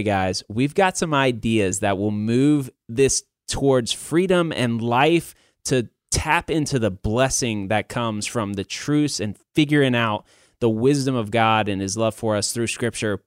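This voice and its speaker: A man hosts a podcast in an easy, conversational style, addressing listeners like buddies. His voice sits at a middling pitch, and the recording is dry and close, with very little room sound. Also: unhurried, no room sound. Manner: informal, buddy-like address to male listeners. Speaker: podcast host. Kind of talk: casual conversational podcast talk.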